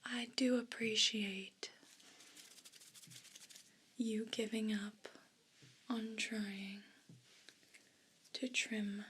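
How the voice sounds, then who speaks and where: A woman's voice: a little high, steady tone, drawn-out words, pauses often, a bit bright, slight background noise. A woman voicing a haughty, aggrieved evil pet cat in a whispered ASMR role play, speaking in pompous, formal, disdainful phrases.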